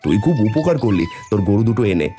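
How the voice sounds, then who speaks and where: A man's voice: lowish voice, fairly quick. A man voicing a hopeful, kindly poor farmer and family man in a cartoon. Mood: warm, determined. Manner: animated, warm to family. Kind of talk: cartoon voice acting. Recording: soft music behind the voice.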